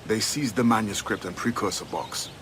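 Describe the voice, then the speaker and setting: An actor playing a solemn, weary older freedom fighter in a video game. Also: male voice, on the low side, normal speed, noisy background, lightly acted. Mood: grave. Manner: solemn, formal. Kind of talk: video game cutscene acting.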